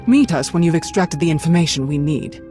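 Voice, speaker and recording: A man's voice: on the high side, animated, darkish tone, soft background music, natural voice. A man playing a cold, formal Imperial officer. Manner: formal, brisk.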